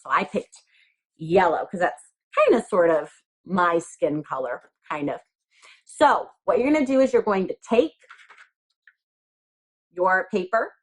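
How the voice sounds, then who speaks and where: Slow, animated woman teaching young children. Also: mid-range voice. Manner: simple, patient explaining to young children. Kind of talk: children's craft lesson, step-by-step instruction.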